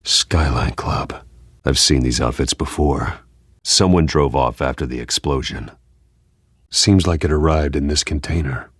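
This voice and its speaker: A man voicing a grim, controlled masked vigilante detective in a video game, speaking tersely and deliberately in a husky low growl. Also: dry recording, studio quality, full character voice. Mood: brooding. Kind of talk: video game voice acting, terse investigative lines.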